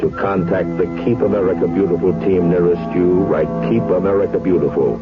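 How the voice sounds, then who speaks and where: A man's voice: low voice, fairly even intonation, fairly quick. A man giving solemn scripted narration as a public service announcer. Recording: muffled 1970s radio recording. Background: loud music.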